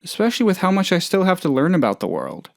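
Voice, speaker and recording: A man's voice: high-pitched, huge pitch swings, fast, creaky, no echo, clean studio sound, heavily acted. A man playing a hapless, trusting, lonely oddball in a video game, talking in a rambling, hesitant, good-natured way. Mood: confessional, subdued. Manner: rambling, hesitant, naive, chatty. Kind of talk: video game character dialogue.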